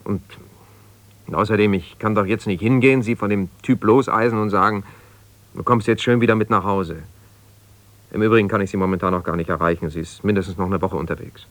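Male actor as stubborn, ambitious lawyer and abandoned husband: low voice, dry close sound, insistent, naturalistic radio play. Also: steady tone, fairly quick, a bit bright, dry recording, close to an everyday voice. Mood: stubborn, reluctant. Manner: argumentative, insistent, hesitating when conceding. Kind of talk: naturalistic radio drama monologue on the telephone.

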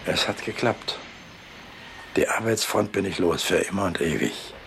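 A man's voice: low-pitched, moderate pace, gravelly, fairly bright tone, slight background noise. An actor in a radio drama playing a weary, sly, stoic old working man, musing to himself in a muted way. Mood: quiet satisfaction. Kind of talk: German radio drama acting.

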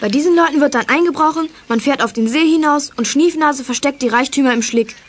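A woman playing an excitable, cheeky young girl detective in a German children's audio drama, exclaiming eagerly. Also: sits high, a little fast, natural voice.

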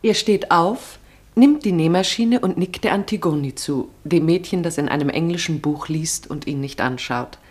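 Low-voiced woman narrating a radio play. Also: normal speed, a little mellow, husky. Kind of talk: literary radio play narration, present tense.

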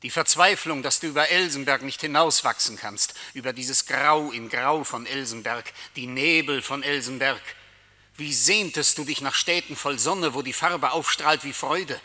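An actor in a radio play voicing Death as solemn, seductive and merciless, speaking in deliberate, rhetorical phrases. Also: male voice, mid-range voice, pushed and bright. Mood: accusing, merciless. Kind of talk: German radio play acting. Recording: long cavernous reverb.

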